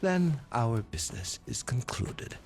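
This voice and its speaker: An actor playing a stern, bitter older Japanese gang boss father in a video game, with a husky voice. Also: mid-range voice, big pitch swings, unhurried, a little mellow. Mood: stern disdain. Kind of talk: video game cutscene acting.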